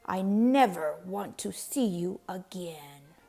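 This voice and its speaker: A woman's voice: lowish voice, lively intonation, creaky, mellow, soft background music, heavily acted. Woman playing an imperious, roaring storybook Duke. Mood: banishing. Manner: imperious, roaring, drawn-out commands. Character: stern, angry, commanding male Duke. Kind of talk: storybook character voice.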